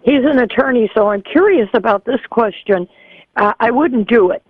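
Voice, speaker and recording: A man's voice: very high-pitched, expressive, dry recording. A man doing a prim chatty lady caller on the phone. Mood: rambling. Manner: rambling, halting with many ums. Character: fussy older woman caller, chatty and proper. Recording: tinny phone line.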